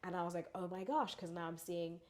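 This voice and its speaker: A woman's voice: lowish voice, brisk. Chatty young South African woman. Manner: chatty, many "like" fillers, lively and animated. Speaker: guest. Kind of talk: casual interview chat.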